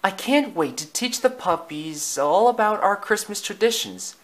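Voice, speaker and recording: A man voicing an excited, gentle female dog, a loving mother, in a storybook reading. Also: high-pitched, measured pace, voice pushed up. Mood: eager.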